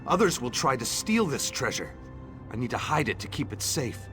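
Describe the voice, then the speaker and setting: A man acts out an earnest young townsman from a fantasy video game, hopeful and grateful, set on defying fate. His voice sits at a middling pitch and has a declamatory streak. Music plays softly behind him. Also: sing-song, normal speed, natural voice. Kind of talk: scripted video game dialogue. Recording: background music under the voice.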